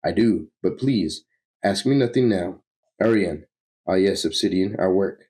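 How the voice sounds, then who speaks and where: A man's voice: low-pitched, expressive, unhurried, mellow, slightly rough, full character voice. A man voicing a noble, stern sorcerer lord in a grand, formal manner, reading from a comic book. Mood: guarded. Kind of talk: comic book read-aloud.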